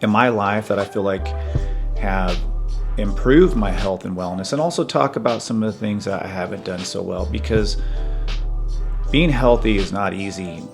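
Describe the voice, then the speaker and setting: A man in his fifties hosting a podcast, talking earnestly and in a measured, conversational way about his own life. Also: on the low side, creaky, over music. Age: 50s. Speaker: podcast host. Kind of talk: personal reflective monologue.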